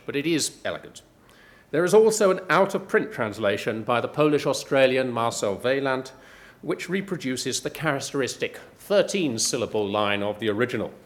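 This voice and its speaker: A middle-aged male professor giving a prepared, measured talk with dry, wry asides. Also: mid-range voice. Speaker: professor giving a book pitch.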